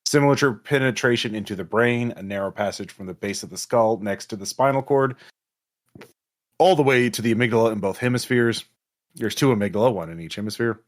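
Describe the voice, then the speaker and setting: A man speaking as game master, improvising narration for a tabletop game in a halting, conversational way. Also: medium pitch.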